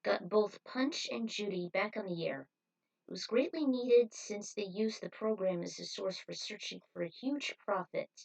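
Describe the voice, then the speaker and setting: Woman narrator for self-written fan fiction, mid-pitched, recorded some way from the microphone. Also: normal speed, vocal fry, a little mellow. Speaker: author reading their own fan fiction. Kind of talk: story narration read aloud. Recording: voice distant from the mic.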